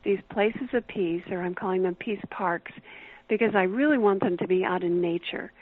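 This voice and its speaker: A woman's voice: mid-range voice, normal speed, no echo. Woman guest calling in, upbeat and explaining stuff, tinny phone sound, dry. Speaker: author and guest. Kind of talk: interview answers.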